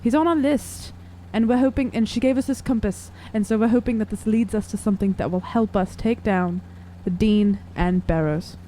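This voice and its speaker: A woman's voice: mid-range voice, moderate pace, few pauses, warm tone, rough recording. A woman playing a tabletop role-playing game, speaking casually and conversationally. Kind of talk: casual chat during game play. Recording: faint background noise.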